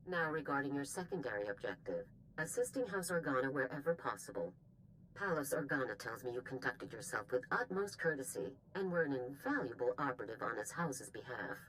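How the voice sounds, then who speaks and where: Very deep-voiced commanding general. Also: female voice, lively intonation, normal speed, fairly bright tone, a little hiss or hum, poor audio. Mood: brisk, official. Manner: clipped, authoritative. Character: commanding, businesslike female military general. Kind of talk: video game voice acting.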